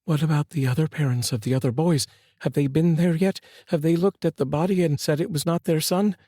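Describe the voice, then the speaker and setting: A male storyteller voicing a frantic, grieving mother in a high, pleading voice. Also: fairly quick, mellow, no room sound, studio quality, close to an everyday voice. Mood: anxious.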